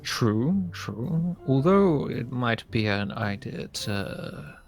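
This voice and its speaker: A man playing a dry, skeptical demigod camper in improvised Minecraft roleplay, speaking in a hesitant, deadpan way. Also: mid-range voice, drawn-out words, gravelly, soft background music, exaggerated voice.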